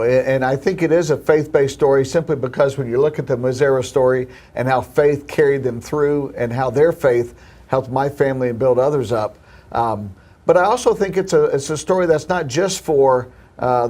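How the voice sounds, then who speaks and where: A man's voice: medium pitch, a little mellow. Earnest film producer man. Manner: earnest, hopeful, some restarts. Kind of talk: interview answers.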